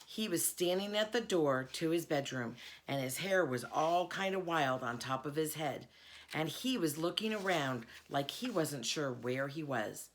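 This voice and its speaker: A woman reading a children's novel aloud in an expressive storytelling manner. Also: low-pitched, a little slow. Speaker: reader, school board member.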